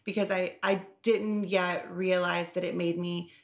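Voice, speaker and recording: A woman's voice: fairly low pitch, level tone, a little slow, vocal fry. A woman co-hosting a podcast, talking candidly and loosely, with lots of "like" fillers and casual swearing. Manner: candid, rambling, heavy on "like" and swearing. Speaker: podcast co-host.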